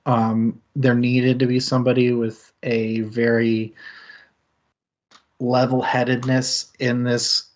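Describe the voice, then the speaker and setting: A man's voice: mid-range voice, completely flat delivery, takes it slowly, dull audio. A man hosting a casual book discussion on a stream, thinking aloud in long, rambling sentences and laughing often. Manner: thoughtful, rambling, with frequent laughs. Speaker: book club stream host.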